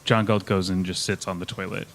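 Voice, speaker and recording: A man's voice: low-pitched, flat delivery, a little fast, fairly bright tone. A man taking part in a tabletop game as a player, improvising narration in a casual way.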